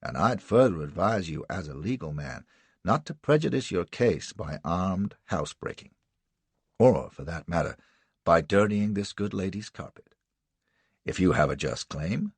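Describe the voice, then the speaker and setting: A man doing a cool, quiet drawling lawyer type. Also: low voice, slightly rough, no echo, full character voice. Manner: slow steady drawl, quiet. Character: calm measured man of law.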